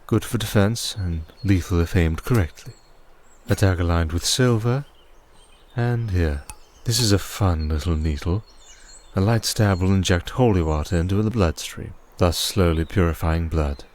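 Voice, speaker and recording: Male actor as vampire archduke, very low voice, cold, commanding, measured formal speech, condescending, ASMR audio drama. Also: darkish tone, close to an everyday voice. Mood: composed, instructive.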